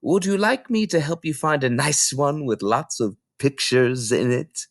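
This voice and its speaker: A man's voice: medium pitch, unhurried, creaky, dry recording, clean studio sound, voice pushed up. A man voicing a kindly, helpful grown woman who works as a village librarian. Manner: gentle, helpful.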